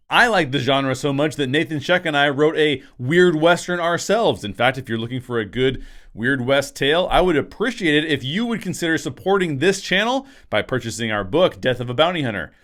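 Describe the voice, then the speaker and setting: A man in his thirties, a writer hosting his channel, giving a scripted explainer in an energetic way, talking into a desk microphone in a small home studio. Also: mid-range voice. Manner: energetic, gestures a lot with both hands. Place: small home studio room with framed posters. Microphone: desk microphone on a stand in front of him.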